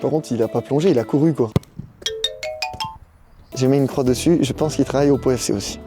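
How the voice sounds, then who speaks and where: A young man hosting a casual video, joking and reacting with lively banter. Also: medium pitch, fairly quick. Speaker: YouTube host. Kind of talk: casual chat. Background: music.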